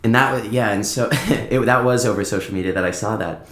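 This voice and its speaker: A man's voice: lowish voice, brisk, a bit bright. A man talking casually as a musician guest on a podcast, rambling through anecdotes and acting out bits of conversation. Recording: roomy, echoing indoor sound.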